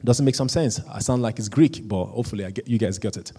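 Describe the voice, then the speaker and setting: Man, pastor, sermon, warm dark voice, repeats himself, restarts lines. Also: lowish voice, slightly rough. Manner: rises into louder, slower emphatic reading of scripture.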